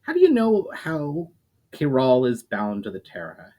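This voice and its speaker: A man's voice: mid-range voice, huge pitch swings, measured pace, dark tone, pitched above the performer's own voice. A man reading a story aloud, voicing an earnest adult male elf leader who keeps pressing with questions. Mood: earnest questioning.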